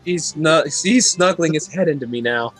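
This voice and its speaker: A man's voice: fairly high pitch, normal speed, soft background music. A man chatting casually off script as an amateur voice actor.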